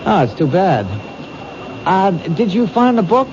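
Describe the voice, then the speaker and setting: An earnest American detective speaks in a fairly high, halting voice, anxious and dogged. It is radio drama acting, heard through a muffled old broadcast with clear noise. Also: male voice, big pitch swings. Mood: watchful. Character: earnest, anxious male police detective on stakeout.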